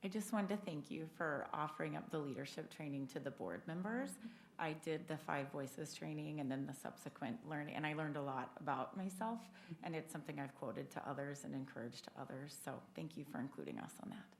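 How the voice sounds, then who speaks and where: A woman on a school board with a very low voice, speaking plainly and appreciatively in a public meeting. Her words ring with the roomy echo of the meeting room. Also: brisk, a little hiss or hum. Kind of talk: brief remarks in a public meeting.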